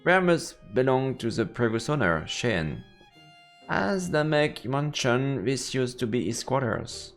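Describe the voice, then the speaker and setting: A male streamer with a gravelly, creaky voice and lively, swinging intonation. He reads game text aloud and chats informally between passages, tripping on words now and then, with music behind him. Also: mid-range voice, unhurried. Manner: informal, stumbling over read text. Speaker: let's play streamer. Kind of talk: live commentary, reading game text aloud.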